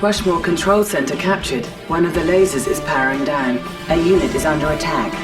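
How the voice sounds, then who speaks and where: Strategy game announcer, actress: composed military woman, low pitch, slightly distant under heavy music. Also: a little fast, lightly acted. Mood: businesslike. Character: composed female military battle announcer, crisp and impersonal.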